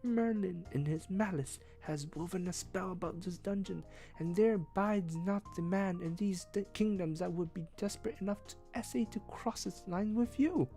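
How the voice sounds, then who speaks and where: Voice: sits high, sing-song, slow pace, darkish tone, faint music behind, pitched above the performer's own voice, full character voice. A storyteller voicing a timid, frightened young page boy who pleads and trembles in old-fashioned speech. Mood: pleading.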